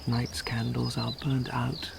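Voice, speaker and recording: An actor playing a tender, wistful young lover with measured poetic delivery in a radio Shakespeare drama. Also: male voice, mid-range voice, little pitch movement. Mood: tender, resigned. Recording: clear outdoor noise with birds and insects.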